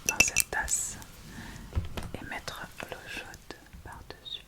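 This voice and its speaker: A woman whispering softly and informally through an ASMR makeup tutorial, with hesitant, unhurried phrasing. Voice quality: soft unvoiced whisper. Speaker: ASMR video creator.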